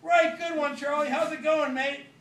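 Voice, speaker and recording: A man doing a chirpy, high drummer. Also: fairly even intonation, moderate pace, a bit bright. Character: cheery jokey male drummer. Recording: roomy, distant, noisy recording.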